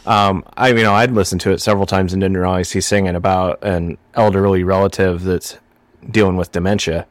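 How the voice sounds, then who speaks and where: A man co-hosting a casual podcast, slightly phlegmy, explaining his thoughts in a halting, thoughtful way. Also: sits low, steady tone, no room sound. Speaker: podcast co-host.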